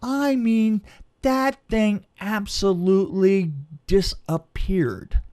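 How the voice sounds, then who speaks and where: A man's voice: sits high, animated, slow, a little mellow. A man telling a casual anecdote as a film crew guest, stammering and repeating words as he goes.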